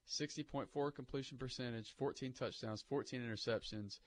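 A man's voice: mid-range voice, fairly quick, a little hiss or hum. A man on sports talk radio, reeling off statistics and weighing up a trade with a few fillers. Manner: rattles off stats, many fillers.

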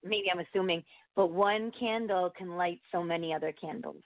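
A woman's voice: fairly low pitch, normal speed, no echo. Effusive woman caller in her forties on the phone. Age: 40s. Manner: warm, effusive, rambling, says she has a young voice. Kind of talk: call-in conversation. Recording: tinny phone line, dry.

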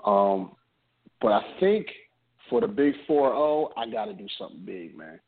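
A man in his late thirties, a podcast co-host from New Jersey, chatting in a relaxed, joking way. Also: on the low side. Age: 30s. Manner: laid-back, joking, drawn-out storytelling. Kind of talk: casual chat. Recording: tinny phone-like audio.

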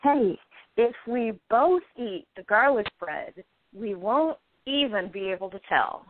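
A woman's voice: fairly high pitch, lively intonation, measured pace, a little hiss or hum. A woman playing a wry, shy woman in love, with a hesitant manner, in an amateur radio play. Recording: thin phone-like line.

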